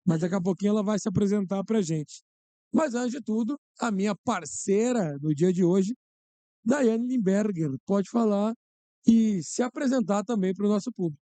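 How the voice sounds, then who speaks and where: A man's voice: sits high, moderate pace, mellow, no room sound. A man hosting a podcast, opening with a theatrical welcome and joking about himself. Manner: playful, jokes about himself. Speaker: podcast host. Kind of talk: theatrical show host introduction.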